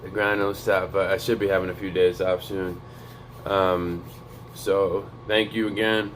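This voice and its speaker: A young man with a very deep, flat voice, keeping it hushed and monotone as he gives a casual, profane pep talk. He sounds streetwise and easygoing, and a faint hum sits behind him. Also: a little slow. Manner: low, quiet monotone to avoid waking a neighbor. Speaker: vlogger. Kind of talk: motivational vlog talk. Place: home in North Dakota.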